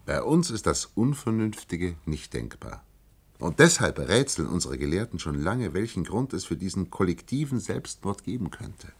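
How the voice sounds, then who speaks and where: A man's voice: low voice, big pitch swings, moderate pace, breathy edge, heavily acted. An actor in a science-fiction radio play voicing a proud, vain alien researcher who poses as a human salesman and speaks with earnest, pompous emphasis. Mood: grave, earnest.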